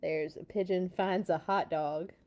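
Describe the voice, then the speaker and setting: A woman hosting a children's read-along, casual and amused, with chatty, expressive asides. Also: lowish voice, unhurried.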